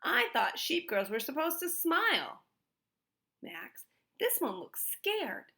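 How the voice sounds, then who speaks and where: A woman's voice: high-pitched, wildly swooping pitch, slow pace, vocal fry, bright, forceful tone, pitched above the performer's own voice, full character voice. A woman voicing a pompous, conspiratorial male art thief, a villain in a storybook. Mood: puzzled.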